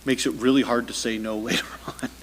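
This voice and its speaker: A man leads the council debate, halting and repeating words, with a wry edge. His voice carries a long hall reverb, with a faint room hum beneath. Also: medium pitch, flat delivery. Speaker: mayor chairing a meeting. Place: council chamber.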